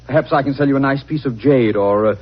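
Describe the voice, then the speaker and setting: An actor playing a breezy, self-mocking gentleman importer and amateur sleuth, bantering urbanely in 1940s radio style. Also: medium pitch, quick pace, close to an everyday voice. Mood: playful, breezy. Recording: dull, noisy old radio recording.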